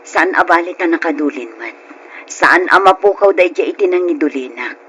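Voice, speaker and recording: A woman's voice: on the low side, lively intonation, moderate pace, a bit bright, breathy edge, exaggerated voice. An actress playing a meek, grieving middle-aged widow and mother in an old-time Ilocano radio drama, plaintive and hesitant. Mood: subdued, hesitant.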